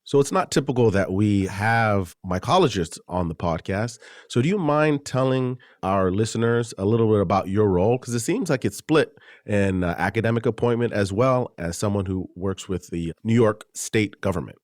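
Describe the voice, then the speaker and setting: A man hosting a journal podcast, reading a composed introduction and then asking questions in a clear, steady way. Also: fairly low pitch, moderate pace, hardly any pauses, no room sound, clean studio sound. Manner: clear, composed, reads smoothly. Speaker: podcast host, journal web editor. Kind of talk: scripted introduction and interview questions.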